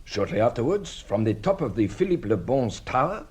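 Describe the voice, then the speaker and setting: An actor playing a shrewd, courteous police detective in a radio drama, a husky voice and a formal, measured, theatrical manner. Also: male voice, mid-range voice, very animated, a little mellow. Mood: explaining.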